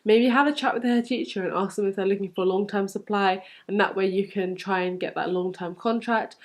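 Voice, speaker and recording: A young woman with a London English accent talks at a middle pitch. She gives advice in an encouraging, conversational way, like a teacher answering questions on a casual vlog. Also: fairly even intonation, brisk. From London, England. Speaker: supply teacher giving advice as vlog host.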